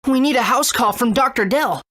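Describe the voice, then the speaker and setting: A woman's voice: fairly high pitch, a little fast, sharp tone. A woman voicing an earnest, eager young boy hero in a video game. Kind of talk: video game character acting and narration.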